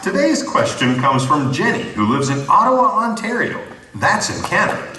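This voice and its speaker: A man playing a cheerful, patient quiz-show host in a scripted puppet comedy, explaining things in an animated way. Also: high-pitched, normal speed, crowd noise. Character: Herbert, cheerful patient male Bible-quiz host, the sensible one. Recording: echoey, distant playback of prerecorded CD.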